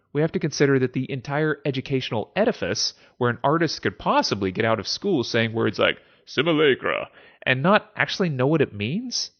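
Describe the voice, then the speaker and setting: A man's voice: mid-range voice, lo-fi sound. A man giving an informal explanatory monologue as a host, conversational and musing, often posing rhetorical questions. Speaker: host of a philosophy series.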